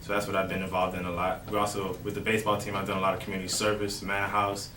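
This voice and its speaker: A young man from Miami, a college baseball player, answering interview questions in a relaxed way with lots of "you know". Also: low voice, racing. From Miami, Florida. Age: young adult. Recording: roomy, distant mic with faint noise.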